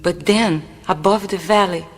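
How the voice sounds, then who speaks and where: A mysterious Brazilian woman who probes and reproaches. Her accented speech is measured and searching. It sounds in a long, hall-like reverb with faint music beneath. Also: fairly low pitch. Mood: wistful. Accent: Brazilian Portuguese. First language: Portuguese. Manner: stern, searching, probing questions. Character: mysterious woman seeker who chides a reluctant shaman. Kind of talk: radio play acting.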